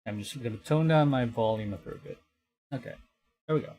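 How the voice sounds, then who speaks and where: A man's voice: mid-range voice, fairly quick. A young man from the Philippines chatting casually while streaming a game, rambling and correcting himself as he goes. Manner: rambling, self-correcting, quietly talking to himself. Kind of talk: casual gameplay commentary.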